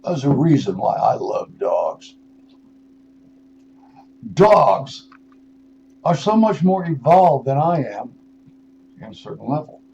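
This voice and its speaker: An older man, in his sixties or beyond, hosting a radio show with a rambling spiritual monologue, musing and halting, then leaning into words for emphasis. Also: mid-range voice, very animated, creaky, a little mellow. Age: 60s or older. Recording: dull lo-fi radio audio with noise.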